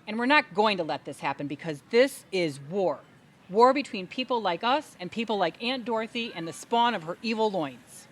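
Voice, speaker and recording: A woman's voice: mid-range voice, expressive, normal speed, natural voice. A woman playing an indignant, crusading adult sister in a comedic audio play, speaking in a dramatic, emphatic, speechifying way. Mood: rousing, resolute. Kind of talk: comedic audio theatre acting.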